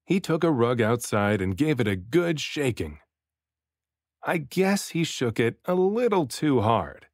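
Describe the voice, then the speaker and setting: A man reading a children's picture book aloud as an expressive storybook narrator, varying his delivery for emphasis. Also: medium pitch, a little slow, dry recording, studio quality. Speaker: children's book reader, dad and voice actor.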